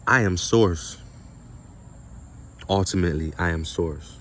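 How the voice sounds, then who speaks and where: A man's voice: low-pitched, slow pace, fairly bright tone, a little hiss or hum. A man giving an informal, slangy spiritual monologue in an emphatic, repetitive manner, often posing rhetorical questions. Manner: casual and slangy with some swearing. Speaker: spiritual content creator and speaker.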